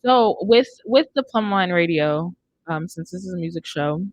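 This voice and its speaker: A woman's voice: on the low side, lively intonation, moderate pace, dry recording. A young American woman hosting a casual podcast chat from a studio, close on the microphone. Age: young adult. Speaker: radio show host. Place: indoor studio. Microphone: close studio microphone on boom arm.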